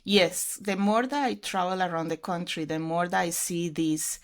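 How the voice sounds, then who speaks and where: Woman, middle-aged, English speaker, slightly low voice, earnest, reflective, conversational. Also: moderate pace. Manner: earnest, reflective storytelling with fillers. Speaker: podcast guest, ministry leader. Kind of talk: conversational interview.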